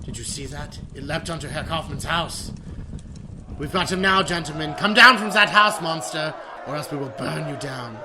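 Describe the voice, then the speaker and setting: An actor playing a furious, menacing leader of a village mob in a melodramatic audio drama. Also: male voice, on the high side, moderate pace, very noisy, lightly acted. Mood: furious, triumphant. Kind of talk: fan-made superhero audio drama acting.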